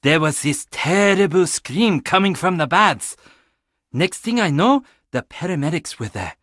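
A young man from a video game, easygoing and skeptical, with a fairly high voice. He chats casually and wryly, his pitch swinging in a lively way. The sound is close and dry. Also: no room sound, full character voice. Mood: uneasy, hushed. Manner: casual, chatty, wry. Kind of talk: video game character dialogue.

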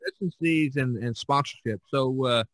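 A man talks casually as a podcast host. His voice is bright and medium in pitch, recorded dry. He feels his way through sentences, often repeating a word. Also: moderate pace, no room sound.

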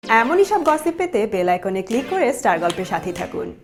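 A woman's voice: a little high, very animated, brisk. A woman reading a scripted channel promo as an announcer. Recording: loud music behind voice. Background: loud music.

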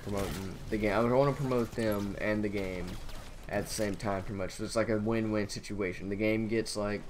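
A young man hosting a gaming channel, talking in a flat, monotone voice and rambling with lots of fillers over gameplay. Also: fairly low pitch, fairly quick, a little hiss or hum. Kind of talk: casual gameplay commentary.

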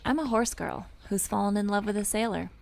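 A woman's voice: medium pitch, brisk, creaky. A woman from Appalachia narrating her move aboard a sailboat in an earnest, reflective way. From Appalachia, USA. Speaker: nurse and co-host of sailing vlog. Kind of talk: personal vlog narration.

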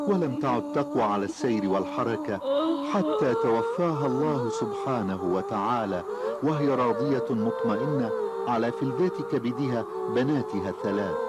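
A man's voice: medium pitch, very noisy. A man narrating scripted stories for children.

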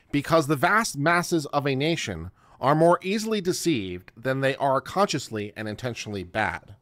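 A middle-aged man giving a theatrical, deliberate dramatic reading of a written text into a close microphone. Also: fairly high pitch, fairly bright tone, dry recording. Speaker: online show host. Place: small home studio room. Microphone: close microphone on boom arm.

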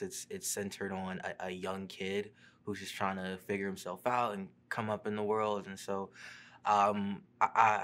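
Young man, lower pitch, relaxed press-interview chat, studio seat. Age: young adult. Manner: relaxed, many fillers and restarts. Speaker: actor being interviewed. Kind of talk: casual interview answers. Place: studio seat before a printed backdrop.

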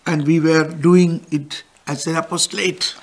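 Older man, high voice, slow deliberate catechism teacher, studio lapel mic. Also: flat delivery. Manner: gestures broadly while explaining, pauses for interpreter. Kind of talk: catechism lesson with interpreter. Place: studio with backdrop.

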